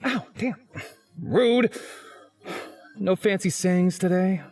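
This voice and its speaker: A man's voice: high-pitched, slow pace, darkish tone, faint music behind. A man playing a wry, grumbling schoolboy who narrates his haunted life in a visual novel game, dry and sardonic. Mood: annoyed.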